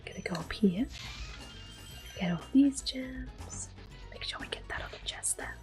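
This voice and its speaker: A woman giving soft-spoken, often whispered ASMR commentary on a video game, in a gentle, hushed manner. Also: on the high side, lively intonation, unhurried, over music. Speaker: ASMR gaming host.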